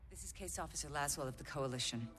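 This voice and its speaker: A woman's voice: deep voice, fast talker, fairly bright tone. A woman playing a businesslike intelligence case officer in command, giving a formal, clipped mission briefing in a video game. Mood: firm, businesslike.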